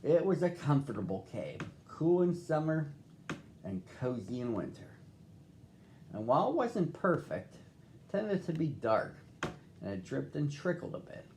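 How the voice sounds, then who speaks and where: A man reading a picture book aloud to children as a storyteller, with expressive phrasing and drawn-out words. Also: fairly high pitch, slight background noise. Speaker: storybook reader.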